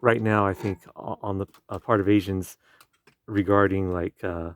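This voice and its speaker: A young man with Chinese as his first language, hosting a casual conversation and talking in halting phrases with many restarts and fillers. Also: low-pitched, fairly even intonation. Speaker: podcast host. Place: indoor room with a field recorder. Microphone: field recorder.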